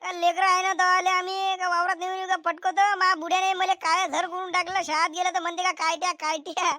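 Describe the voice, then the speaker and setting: A woman acts out an overworked, cheeky village boy for a rural comedy cartoon. The voice is piercingly high and bright, with a whining manner and a Vidarbha Marathi accent. The sound is dry and close. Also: flat delivery, a little fast, dry recording. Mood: cheeky protest.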